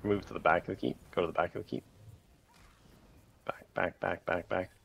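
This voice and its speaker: A man leading a raid group over voice chat, calling short commands in rapid repeated bursts with countdowns. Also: deep voice, steady tone, creaky, fairly bright tone, slight background noise.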